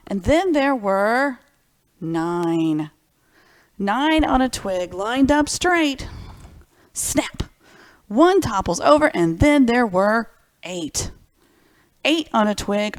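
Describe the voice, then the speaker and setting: A woman's voice: a little high, dry recording. A woman hosting a children's library storytime, reading picture books expressively and speaking simply and directly to young listeners.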